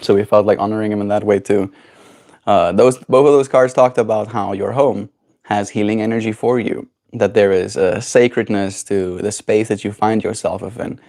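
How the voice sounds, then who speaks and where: A man's voice: fairly low pitch, fairly even intonation, moderate pace, a little mellow. A man from South Africa with a South African accent, hosting a casual livestream chat and talking in a reflective, meandering way that keeps checking in with "right?". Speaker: livestream host.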